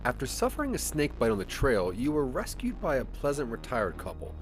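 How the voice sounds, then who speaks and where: A man narrating a scripted second-person adventure story in a dramatic, deliberate storytelling manner. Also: mid-range voice, wildly swooping pitch, over loud music, low-quality recording. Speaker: narrator of a branching audio drama.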